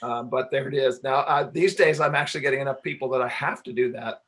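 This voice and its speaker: A man's voice: medium pitch, steady tone, fast, fairly bright tone. A man answering questions as a radio play writer and director at a live Q&A, explaining things in a hesitant, rambling way. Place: talk before a live audience.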